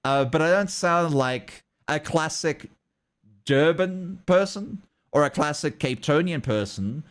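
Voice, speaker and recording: A man's voice: fairly high pitch, expressive, slow pace. A man from South Africa with a South African English accent mixed with Australian and American, rambling and chuckling as he chats casually with his livestream viewers. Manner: rambling, chuckling often, talks back to chat. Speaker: streamer and artist.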